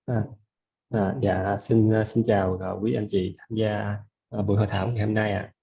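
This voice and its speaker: A man speaking as a panelist, greeting the audience politely and haltingly. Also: fairly low pitch, level tone, gravelly, mellow, husky, dull audio. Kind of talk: formal greeting.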